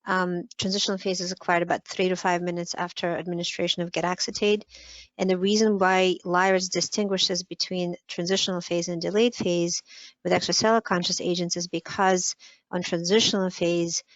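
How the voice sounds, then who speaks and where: Woman lecturer, low flat voice, careful explaining, dull call audio. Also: a little fast, no room sound. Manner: precise, careful, filler-heavy. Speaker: guest lecturer. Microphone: video call.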